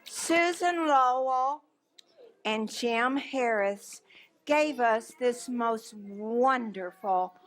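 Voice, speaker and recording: Female children's story time reader: high-pitched, very unhurried, theatrical emphasis, dry recording. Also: a bit bright, dry recording, low-quality recording. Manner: very slow, drawn-out, dramatic emphasis, asks listeners questions. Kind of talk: animated picture-book read-aloud.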